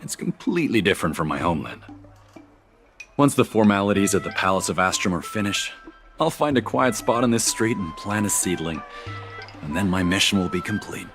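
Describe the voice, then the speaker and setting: An eager, courteous envoy from a faraway world in a video game. His fairly low voice swings expressively with earnest pride, over clearly audible music. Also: animated, fairly quick. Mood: proud, eager. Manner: earnest, eager, formally polite. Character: earnest, proud male envoy from a distant planet. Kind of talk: scripted video game dialogue.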